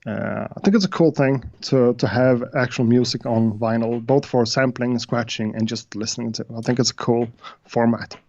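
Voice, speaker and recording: Brief: Swedish-accented man, non-native English speaker, halting guest talk, dull dry call audio. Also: medium pitch, steady tone, a little mellow, dry recording. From Sweden. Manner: hesitant, many uhs and restarts. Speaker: guest beatmaker. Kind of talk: casual interview chat.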